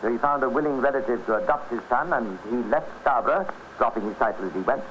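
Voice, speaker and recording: A male actor as an urbane storyteller rattles through a crime tale with dry irony. The delivery is brisk and scripted, in old radio drama style, on a clearly noisy vintage recording. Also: mid-range voice, natural voice. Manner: dry, ironic. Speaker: narrator.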